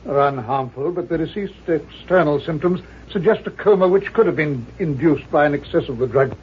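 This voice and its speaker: An actor playing a formal, self-important doctor in an old-time radio mystery, with precise, courtly phrasing. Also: male voice, fairly high pitch, animated, a little fast, slight background noise, close to an everyday voice. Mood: clinical, stern. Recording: dull vintage radio recording.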